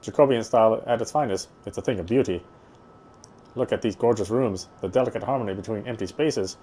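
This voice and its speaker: A man's voice: on the low side, a little fast, dark tone, a little hiss or hum. A man narrating a visual novel as he plays it, reading the prose aloud with casual asides.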